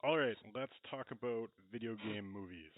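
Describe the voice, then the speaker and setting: Male podcast host, slightly low register, conversational and tentative, over narrow phone-like audio. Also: moderate pace. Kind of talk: casual podcast discussion.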